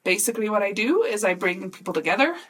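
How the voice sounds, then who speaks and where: A woman in her mid-thirties speaks in a medium-pitched voice, chatting informally as a podcast host. She tells personal stories in a giggly, conversational way. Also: expressive, fast, a bit bright, husky. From Switzerland. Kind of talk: casual chat, sharing personal stories.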